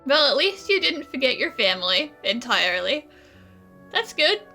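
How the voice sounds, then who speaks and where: Bright, high-voiced woman commenting on a game. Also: big pitch swings, normal speed, faint music behind. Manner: slangy, sarcastic. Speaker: gaming streamer. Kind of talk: casual playthrough commentary.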